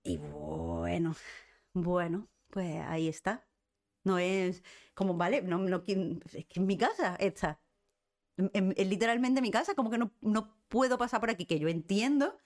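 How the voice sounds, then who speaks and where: A woman's voice: mid-range voice, animated, a little slow. A woman with a Spain Spanish accent, hosting a live stream with casual, ranting chat in a blunt, sarcastic, sweary manner. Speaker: host of a live cultural current-affairs show.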